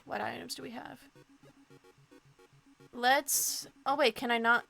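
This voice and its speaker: A woman's voice: high-pitched, fairly even intonation, takes it slowly, creaky, sharp tone, soft background music. A young woman streamer reading a dating game aloud, playful and giggly, commenting between lines.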